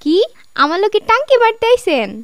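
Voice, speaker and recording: A woman's voice: very high voice, sing-song, fairly quick, full character voice. A woman voicing a feisty, suspicious young village woman with a rural Bangladeshi dialect in a comic cartoon. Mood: alarmed, indignant.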